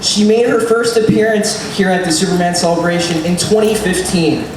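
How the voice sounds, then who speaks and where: A man's voice: high-pitched, moderate pace. A man moderating a live convention panel, giving enthusiastic announcer-style introductions of his guests. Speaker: panel moderator and podcast host. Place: large convention hall. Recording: echoey hall miked from far off with noise.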